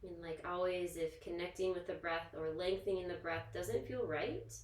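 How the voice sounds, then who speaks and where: A woman yoga instructor leading a guided relaxation in a soothing, gentle, informal manner, leaving pauses between instructions. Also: lowish voice. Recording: echoey, roomy sound.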